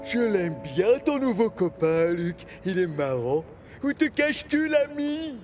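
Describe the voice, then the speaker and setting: A man's voice: sits high, big pitch swings, measured pace. A man voicing a friendly, frank male working machine from a quarry in a French children's cartoon, exclamatory in manner. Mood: amused. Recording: music behind.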